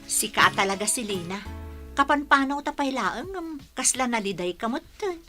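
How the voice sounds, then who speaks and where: Perky Ilocano village teaser, young female actor, radio comedy: high voice, very animated. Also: normal speed, over music, natural voice. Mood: teasing.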